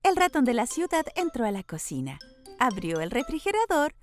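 A woman tells a children's story from a script. Her voice is high and very lively in pitch, with an expressive storytelling delivery. Music plays clearly behind her. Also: normal speed.

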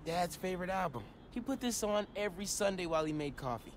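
An actor playing an easygoing teenage boy hero in a video game, with relaxed, casual city-kid talk. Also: high-pitched, fast, a little hiss or hum. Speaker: game character.

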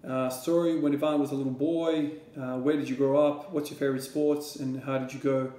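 A man in his thirties talks to a camera in a small home room, answering viewer questions. He rambles loosely, with plenty of fillers and repeated starts. His voice stays fairly level in pitch, and the room sounds echoey. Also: flat delivery, a little fast, dark tone. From Croatia. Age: 30s. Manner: rambling, repeats words when starting sentences, many fillers. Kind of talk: casual Q&A talk to camera. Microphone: camera or laptop at desk distance.

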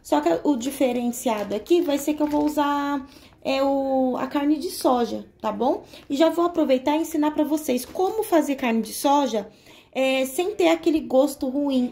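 A woman's voice: sits high, mellow. A Brazilian woman talking through a recipe in her home kitchen, explaining each step and checking in with her viewers. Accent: Brazilian Portuguese. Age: young adult. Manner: chatty, explains step by step with frequent "tá?" check-ins. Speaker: home cooking vlogger. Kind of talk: casual cooking tutorial.

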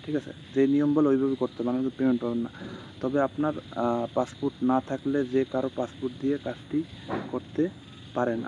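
A man, casually walking through a phone screen recording as a tutorial host, rambling and repeating himself between steps. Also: mid-range voice. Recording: dull lo-fi phone recording with steady insect-like background noise.

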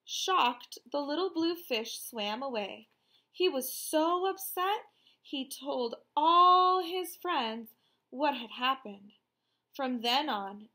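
A woman in her thirties reading a children's storybook aloud, expressive, deliberate and emphatic. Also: a little high. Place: small room at home, indoors.

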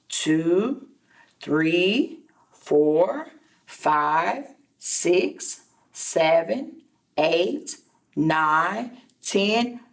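A woman reads a children's story aloud in a classroom, a little distant from the microphone. Her pitch moves in animated swings, and she takes her time with each phrase, like a preschool teacher speaking to young listeners. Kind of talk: expressive children's read-aloud with asides to listeners. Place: indoor classroom.

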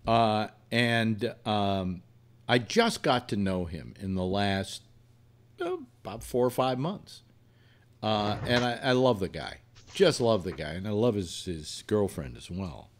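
An older man hosting a casual live panel chat over a video call, talking in an animated way and often cutting in. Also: fairly low pitch, unhurried. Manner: animated, interrupting, restarts often. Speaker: host. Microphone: webcam on a video call.

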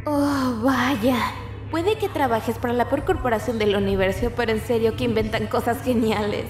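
Woman acting a tough young outlaw, sarcastic, Latin American Spanish, fairly high voice, echoey room. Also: normal speed, over music, lightly acted. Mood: guarded, curious. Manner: sarcastic, blunt. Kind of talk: amateur fandub of a video game.

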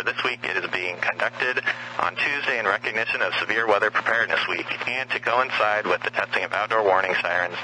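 Low-voiced man on noisy weather radio. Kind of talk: scripted public safety announcement.

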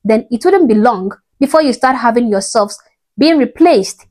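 A woman's voice: on the high side, animated, moderate pace, darkish tone. A young woman giving an emphatic, preachy opinion commentary to camera from her bedroom, often tagging her points with "okay?", on a lapel mic. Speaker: vlogger. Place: bedroom indoors.

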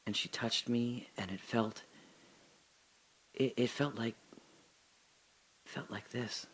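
A man performing a reflective audio drama monologue, speaking slowly and often dropping to a whisper. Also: mid-range voice, steady tone, a bit bright, rough recording. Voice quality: slow, gravelly and husky, often dropping to a whisper. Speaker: radio drama narrator and lead.

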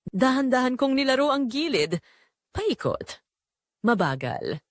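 A woman reading a scripted first-person audio drama in a dramatic, measured way with short pauses. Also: sits high, very animated, no room sound, clean studio sound. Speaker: narrator.

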